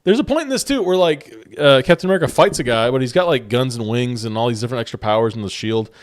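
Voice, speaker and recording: Fast-talking man, rambling chat. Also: medium pitch, expressive. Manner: rambling, many fillers and restarts. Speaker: podcast co-host. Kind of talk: casual chat.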